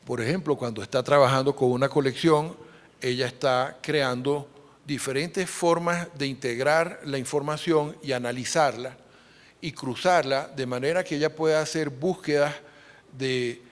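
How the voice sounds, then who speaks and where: A man presenting a formal, explanatory conference lecture as interpreter, with hesitant pauses. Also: mid-range voice. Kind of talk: conference lecture on numismatics, relayed for another speaker. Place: large conference hall. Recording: echoey hall with long reverb.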